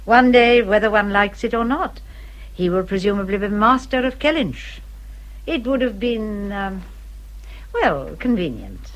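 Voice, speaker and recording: A woman's voice: mid-range voice, expressive, unhurried, lightly acted, low-quality recording. An actress playing a kindly, practical older English lady of good breeding in a radio drama, genteel and emphatic. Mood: worldly, tactful. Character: well-bred older lady, kindly, practical motherly adviser.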